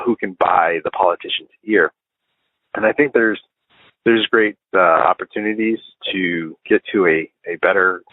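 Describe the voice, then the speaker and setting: An American man leaving a voicemail as a listener, giving informal political commentary in a measured, halting, deliberative way. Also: on the low side, dry recording. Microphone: phone. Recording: tinny band-limited phone voicemail line.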